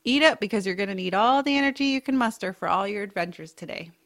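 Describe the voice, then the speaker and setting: A woman playing a kindly, whimsical aunt in a family audio drama, speaking with gentle earnestness. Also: mid-range voice, animated, fast talker, lightly acted. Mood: warm, encouraging. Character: kindly, whimsical aunt, bookish home cook.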